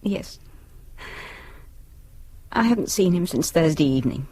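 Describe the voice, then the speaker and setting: An actress playing a worried, wronged middle-aged British wife and mother in a naturalistic radio drama, with a husky voice. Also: low-pitched, animated, unhurried, mellow, heavily acted. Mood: anxious.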